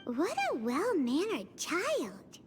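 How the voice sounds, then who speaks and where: A tiny female fairy companion from a video game, squeaky and childlike. The pitch is extremely high and leaps about wildly, while the words come slowly and exclaimed. Music plays behind. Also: wildly swooping pitch, full character voice. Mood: friendly. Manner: squeaky, exclamatory, refers to self in third person. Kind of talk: animated video game voice acting.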